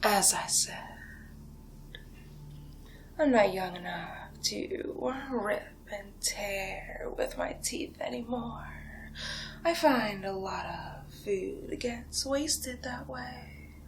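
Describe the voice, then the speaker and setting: A woman playing a seductive, menacing vampire in an ASMR horror roleplay, speaking in a breathy, drawn-out half-whisper with hypnotic, teasing menace. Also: medium pitch, a little hiss or hum, low-quality recording. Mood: hypnotic, predatory.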